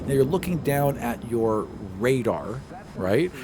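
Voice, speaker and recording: A man's voice: medium pitch, big pitch swings, slow pace, crowd noise. A man narrating a tabletop game as game master, setting scenes dramatically in the present tense. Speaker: game master, podcast host.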